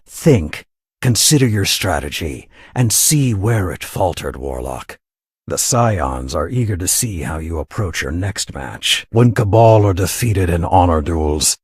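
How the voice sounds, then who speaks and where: A man playing a solemn, proud warrior lord announcing matches in a video game, measured and stately. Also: low voice, husky, no room sound, studio quality, exaggerated voice. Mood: solemn, urging.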